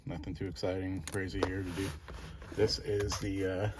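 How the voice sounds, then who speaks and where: A man's voice: deep voice, little pitch movement, moderate pace, very noisy. A man in his late thirties narrating a hands-on garage project in an informal, laid-back, self-deprecating way, like a casual vlog. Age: late 30s. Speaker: car restoration vlogger.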